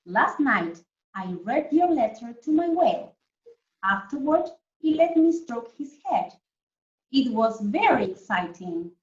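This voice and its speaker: A woman teacher reading a story to young children in a deliberate, animated way, often putting questions to them. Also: on the high side. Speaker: primary school teacher reading a story to pupils. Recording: roomy, dull lo-fi sound.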